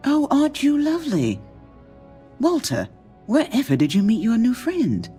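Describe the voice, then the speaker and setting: A woman's voice: a little high, wildly swooping pitch, moderate pace, warm tone, faint music behind. An actress playing a charming, teasing, cunning female executive in a video game, with a gushing, theatrical manner.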